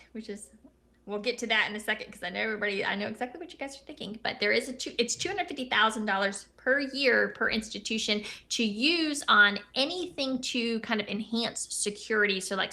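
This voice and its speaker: Bright high-voiced woman commentator. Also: gravelly. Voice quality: high-pitched voice. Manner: chatty, self-correcting. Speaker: co-host. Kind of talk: casual political commentary.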